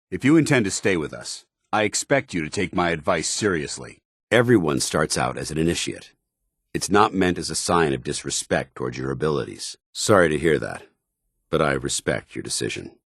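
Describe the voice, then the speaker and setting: An American man voicing a stern, earnest, duty-bound military commander in a video game, speaking in formal, measured phrases. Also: very deep voice, creaky, a bit bright, slightly rough, no room sound, studio quality, full character voice. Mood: commanding. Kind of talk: video game companion dialogue.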